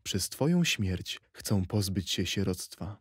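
Low, husky man reciting poetry. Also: big pitch swings, slow. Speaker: poetry reader in an audio play.

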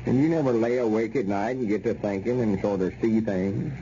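A man's voice: fairly low pitch, a little fast, warm tone, close to an everyday voice. An actor playing a proud, scheming old backwoods man with a rural Southern American accent and a folksy, exasperated drawl in an old radio comedy. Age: older. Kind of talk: 1930s-40s radio comedy acting. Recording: dull, noisy old radio recording.

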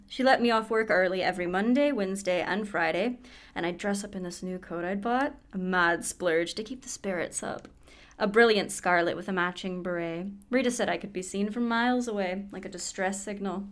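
An actress plays a warm, chatty woman in a radio play monologue. She confides in the listener like a storyteller, with a medium-pitched voice. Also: lightly acted. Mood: bright, wry. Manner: confiding storytelling, mimicking others in quoted exchanges.

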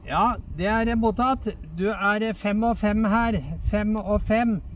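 A man's voice: high voice, steady tone, unhurried. An older man from Norway making a radio contact as an amateur operator, recorded outdoors. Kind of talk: radio contact procedure talk. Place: outdoors on a lakeside lawn. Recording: muffled, distant, loud outdoor background noise.

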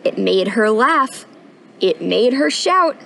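A young woman reading a picture book aloud at storytime in an expressive, sing-song rhyming delivery, recorded outdoors. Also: on the high side, takes it slowly, gravelly. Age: young adult. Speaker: storytime reader, intern. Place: outdoors on a wooden porch.

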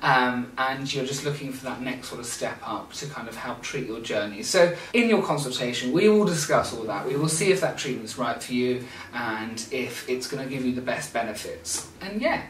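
A man's voice: medium pitch, expressive, moderate pace, a bit bright. A young man talks informally to camera about a facial treatment he offers, dropping in fillers as he goes. His voice sits in a mid register and carries the bounce of a small room. He is set back a little from the mic, with a faint hum of room noise underneath. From England. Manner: chatty, informal, with frequent fillers. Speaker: skincare clinic owner. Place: small clinic room indoors. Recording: roomy, slightly distant camera sound.

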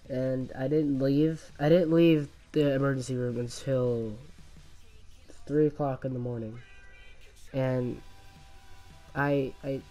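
A man with a slight cough and a voice worn down by illness, telling a rambling story over his gameplay, halting and restarting often. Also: mid-range voice, mellow. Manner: halting, many restarts and fillers, laughs at himself. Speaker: gamer commentating his own gameplay.

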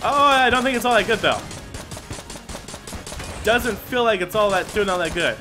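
Excitable young man over game music. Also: high-pitched, normal speed. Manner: excitable, exclaims often, stammers and restarts. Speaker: gaming YouTuber. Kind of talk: casual let's play commentary.

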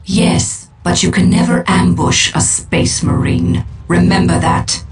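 A woman's voice: lowish voice, animated, recorded from a distance. A woman playing a solemn, formal alien seer and war leader in a game cutscene. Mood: grave, wary. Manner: slow, measured, formal. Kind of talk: dramatic game cutscene acting.

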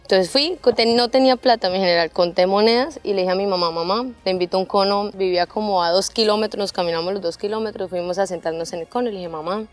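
A woman's voice: mid-range voice, fast, few pauses, faint music behind. A woman in her twenties with a Colombian accent from Cali, an army officer telling personal stories expressively and informally. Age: 20s. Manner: expressive, informal, acts out remembered dialogue. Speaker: army junior officer, interview guest. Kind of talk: personal storytelling in an interview. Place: open front of a military hangar. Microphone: handheld microphone.

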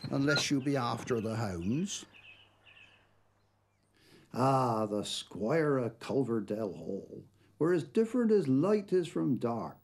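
A man with a Dorset accent reciting dialect poetry as an expressive, emphatic storyteller. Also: medium pitch, husky. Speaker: poetry reader. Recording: noticeable background noise, 1990s television soundtrack.

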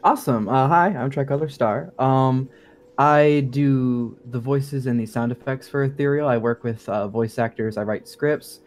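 A man's voice: medium pitch, normal speed. A young adult man talking casually as a podcast guest, informal, a little hesitant and wry. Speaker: guest, game sound designer. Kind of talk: casual interview chat.

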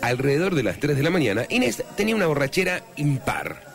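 A man's voice: fairly high pitch, sing-song, quick pace, vocal fry, over music. A man playing a florid, mock-solemn narrator of a comic radio serial. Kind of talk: dramatic scripted narration.

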